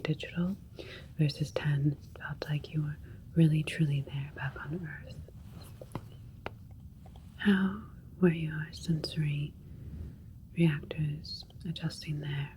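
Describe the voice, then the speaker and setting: A woman playing a calm, caring alien doctor in an ASMR roleplay, speaking in a soft breathy whisper with a gentle, clinical, reassuring manner. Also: sits low, slight background noise, rough recording.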